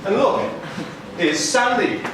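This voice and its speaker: High-voiced man narrating in an echoey hall. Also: lively intonation, slow. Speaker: puppet show narrator. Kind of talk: live storytelling narration for children. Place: live puppet theatre show. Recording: noisy echoey hall, far from mic.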